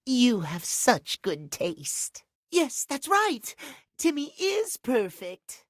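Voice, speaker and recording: A man's voice: very high-pitched, huge pitch swings, very slow, a bit bright, no echo, clean studio sound, heavily acted. A man voicing a cute, needy, childlike cat creature in a video game, with babyish, whiny, pouty delivery. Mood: curious, eager. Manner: babyish third-person cutesy talk, whiny. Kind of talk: video game character voice acting.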